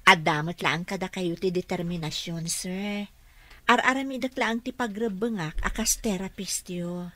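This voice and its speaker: A woman's voice: lowish voice, moderate pace, sharp tone. A woman acting in a radio drama as a respectful, encouraging female therapist, with an Ilocano accent. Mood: gently encouraging. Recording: music behind the voice.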